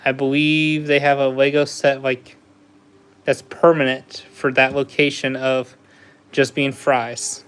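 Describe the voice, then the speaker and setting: A man's voice: mid-range voice, little pitch movement, takes it slowly, fairly bright tone, clean tone. A man chatting casually with viewers while building a Lego set on a livestream, easygoing and laughing often. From USA. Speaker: livestream host.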